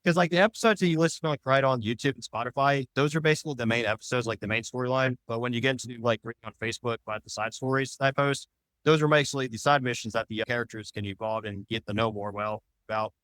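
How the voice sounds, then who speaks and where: Fast-talking, clear-voiced man chatting. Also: medium pitch, fairly bright tone, rough recording. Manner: rambling, loosely worded. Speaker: podcast guest. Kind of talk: casual interview chat.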